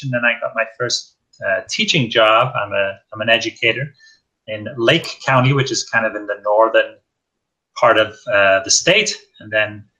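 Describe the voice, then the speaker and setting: A man's voice: fairly low pitch, pushed and bright, rough recording. A man, chatting in an upbeat, friendly way as a guest on an interview show. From northwest Wales. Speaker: guest, endurance cyclist. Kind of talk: casual interview chat.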